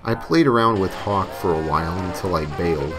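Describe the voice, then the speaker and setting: A man with a low voice narrates a scripted horror story in the first person. He reads as a creepypasta narrator, with music clearly playing behind his voice. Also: brisk.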